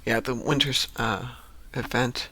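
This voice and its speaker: A man's voice: medium pitch, fairly even intonation, a little slow, noisy background. A man streaming a game, chatting casually and musing aloud as he sorts through menus. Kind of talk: casual chat while gaming.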